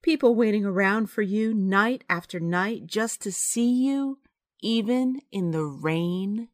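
An actress playing a frank, kindly and loyal playwright's wife in a radio drama, with an earnest, emphatic delivery. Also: female voice, medium pitch, slow pace, no echo, clean studio sound, natural voice. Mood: exasperated, pleading. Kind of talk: radio drama acting and narration.

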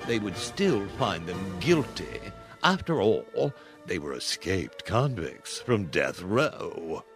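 Male narrator for radio fairy tale: low, unhurried, expressive, music underneath. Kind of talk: storybook radio play narration.

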